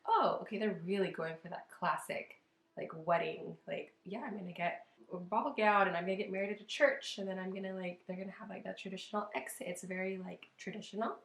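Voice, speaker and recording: A woman hosts a casual reaction video in a roomy, echoey space. Her mid-pitched voice is a little rough and hoarse. She talks informally, thinking out loud with plenty of filler "like". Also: animated, a little fast. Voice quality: slightly rough, strained voice. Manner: chatty, informal, thinking aloud with lots of "like". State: hoarse from losing voice. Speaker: wedding planner and video host.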